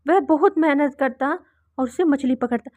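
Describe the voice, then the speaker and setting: High-voiced woman narrating a story. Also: normal speed, a little mellow, no echo, studio quality. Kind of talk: scripted children's cartoon narration.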